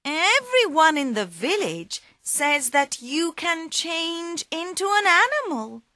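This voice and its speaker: A woman voicing a clever male talking cat who coaxes and flatters in a high, excited voice. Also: slow, no room sound, studio quality, full character voice. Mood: sly.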